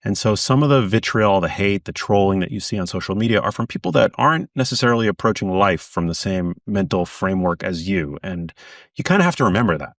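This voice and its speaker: A man's voice: sits low, expressive, fast, fairly bright tone, no room sound, clean studio sound, recorded from a distance. A man guesting on an interview podcast as an author, talking earnestly in bursts with frequent restarts. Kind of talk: casual interview chat.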